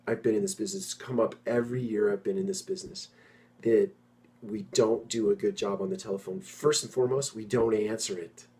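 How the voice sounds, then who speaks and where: Middle-aged male executive host, informal solo talk to peers, emphatic delivery with repeated phrases and mid-sentence pauses. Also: medium pitch, a little mellow. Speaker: property management executive and host. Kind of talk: informal monologue addressed to industry peers.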